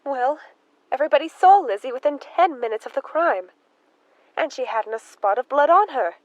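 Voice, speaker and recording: A woman's voice: sits high, lively intonation, normal speed, dry recording, highs cut off. A woman acting an anxious, easily distressed spinster sister in a radio drama, fluttery, pleading and defensive.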